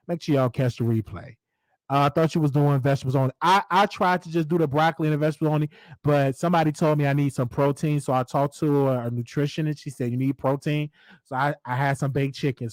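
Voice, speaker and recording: A man's voice: mid-range voice, dry recording. A man in his thirties with a Southern US accent, casually chatting with viewers as host of a live stream, laughing often and repeating himself. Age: 30s.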